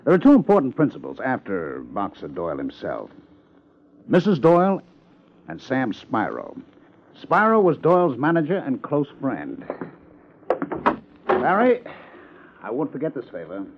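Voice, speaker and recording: An actor playing an insistent, oratorical police lieutenant in a 1950s radio crime drama, emphatic and theatrical. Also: male voice, mid-range voice, huge pitch swings, a little slow, pauses often, husky, heavily acted. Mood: earnest, confiding. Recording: dull vintage 1950s radio recording.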